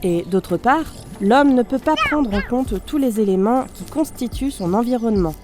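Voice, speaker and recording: A woman playing a damaged robot unit in audio fiction, speaking formally and precisely, polite, analytical and gently naive. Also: fairly high pitch, expressive, normal speed, music in the background, close to an everyday voice. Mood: polite, didactic. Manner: formal, clipped system announcements and precise explanations. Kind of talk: scripted audio fiction monologue.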